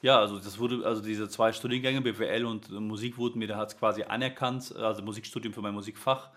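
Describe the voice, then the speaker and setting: Low-voiced man talking casually, full of fillers. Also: steady tone, fast, a bit bright. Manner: rambling, many fillers and restarts. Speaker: music and Dutch teacher, guest. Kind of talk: casual conversational interview.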